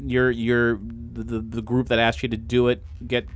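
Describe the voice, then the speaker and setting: A man's voice: mid-range voice, monotone, moderate pace, voice pushed down, lightly acted. A man playing an agitated, sarcastic man trapped inside a computer, flustered and stammering in improvised tabletop roleplay. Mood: anxious. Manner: flustered, stammering, repeats words, exclaims.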